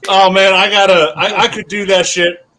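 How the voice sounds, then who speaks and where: A man's voice: high voice, little pitch movement, moderate pace, pushed and bright. A man chatting on a casual group call, bemused and rambling, cursing freely. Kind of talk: casual livestream chat.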